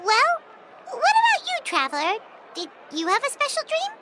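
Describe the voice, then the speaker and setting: A woman voicing a tiny, excitable fairy companion with a squeaky, childlike voice in a video game. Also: moderate pace, noisy background, full character voice. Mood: hesitant, prying. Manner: exclamatory, chirpy.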